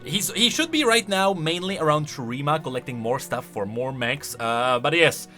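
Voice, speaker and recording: Male host for casual gaming commentary, bright forceful tone, lively swings, thinks out loud emphatically. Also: a little high, normal speed, soft background music. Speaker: video creator and host. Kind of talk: casual game lore commentary.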